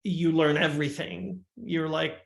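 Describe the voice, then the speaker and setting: An older man from Uruguay, a musician guest, talking in a measured, reflective way with frequent restarts. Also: a little high, flat delivery, gravelly. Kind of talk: casual interview talk. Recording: echoey room.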